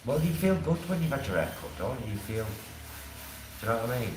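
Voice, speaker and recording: A man's voice: medium pitch, sing-song, a little fast. A man chatting casually on a vlog. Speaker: vlogger getting a haircut. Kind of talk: casual chat. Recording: echoey room, clear background noise.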